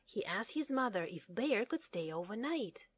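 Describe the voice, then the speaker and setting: A woman's voice: mid-range voice, big pitch swings, moderate pace, breathy edge, dry recording. A woman narrating a children's story as a read-aloud. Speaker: storybook reader.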